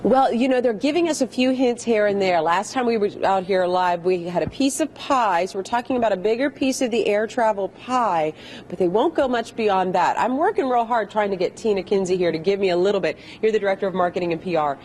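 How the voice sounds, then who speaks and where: A woman reports live for TV news at a brisk clip, with an average pitch. She is conversational and playful as she interviews. Faint location noise sits behind her. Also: a little mellow.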